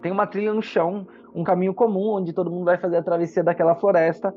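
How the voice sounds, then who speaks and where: A man's voice: high-pitched, little pitch movement, quick pace, narrow, tinny audio, a little hiss or hum. A man with a Brazilian accent narrating a tabletop fantasy game as game master, in an explanatory storytelling manner. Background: background music.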